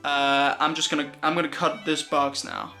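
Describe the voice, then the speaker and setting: Guy streamer doing casual vlog talk, bit high voice, self-deprecating, faint music under. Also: little pitch movement, normal speed, creaky, bright, forceful tone.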